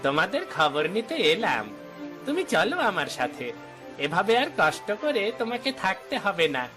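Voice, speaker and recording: A man's voice: high-pitched, very animated, normal speed, over music. A man voicing a pushy, lecherous village bully in a cartoon, wheedling and swaggering with menace. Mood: leering, wheedling. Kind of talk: Bengali cartoon villain acting.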